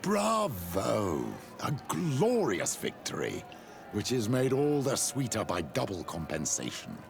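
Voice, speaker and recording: A man's voice: fairly low pitch, wildly swooping pitch, takes it slowly, soft background music, exaggerated voice. An actor playing a sly, ambitious old clergyman burdened by guilt, speaking formally and theatrically in a video game. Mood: gloating. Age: older. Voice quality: gravelly, husky. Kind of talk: video game cutscene acting.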